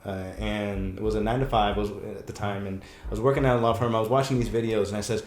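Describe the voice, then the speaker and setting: A man's voice: fairly low pitch, quick pace, a little hiss or hum. Chatty guy rambling and laughing, guest on a podcast, roomy echo. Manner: animated storyteller, laughs often, rambling restarts. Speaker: breakup coach guest. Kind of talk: casual podcast chat.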